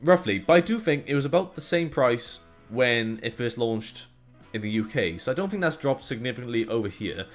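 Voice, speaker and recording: A man's voice: mid-range voice, animated, brisk, soft background music. A young man from Wales with a light Welsh accent, co-hosting a casual tech podcast in a chatty, joking, rambling way. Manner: chatty, jokey, rambling with restarts. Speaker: co-host.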